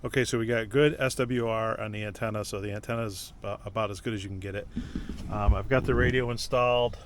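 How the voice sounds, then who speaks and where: A man's voice: fairly low pitch, quick pace. A man giving casual, informal vlog narration, explaining gear step by step in a plainspoken way. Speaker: vlogger testing CB radio gear. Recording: steady background noise, vehicle sounds.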